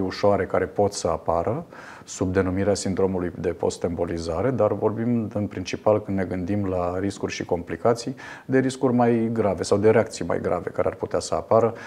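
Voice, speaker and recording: A man in his fifties, a radiologist guest in a TV studio interview, explaining a procedure in a measured way with frequent fillers. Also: sits low, darkish tone. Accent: Romanian. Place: television studio.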